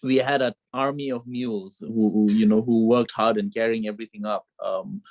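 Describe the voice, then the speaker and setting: A man's voice: on the low side, steady tone, normal speed, a little mellow, no room sound, highs cut off. A middle-aged man from Bhutan, a film director telling his story earnestly on a video call from home, repeating phrases and saying "you know" often. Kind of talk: remote interview, reflective storytelling. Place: home with children nearby.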